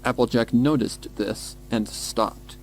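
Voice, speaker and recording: A man narrating a scripted dark story in a measured, dramatic way. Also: mid-range voice, fairly even intonation, a little hiss or hum. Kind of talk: scripted story narration, horror fan fiction. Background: background music.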